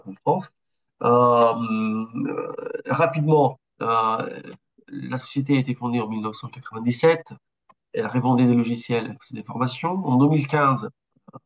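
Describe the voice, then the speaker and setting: A man in his forties from Italy with an Italian accent, a bit ill, presenting a webinar as a consultant and speaking haltingly with many hesitations. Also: mid-range voice, highs cut off. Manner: halting, with frequent hesitations and restarts. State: slightly ill.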